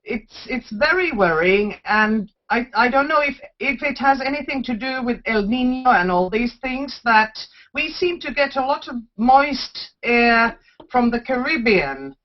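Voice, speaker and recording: Female call guest from Finland, higher voice, thoughtful, dull call audio. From central Finland. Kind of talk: casual conversation. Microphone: video call.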